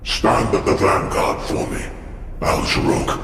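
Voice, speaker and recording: A man's voice: low-pitched, measured pace, vocal fry, background noise. A man playing an imperious, zealous alien warlord in a video game cutscene, declaiming grandly and commandingly. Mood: cold command. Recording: cavernous long reverb, music underneath.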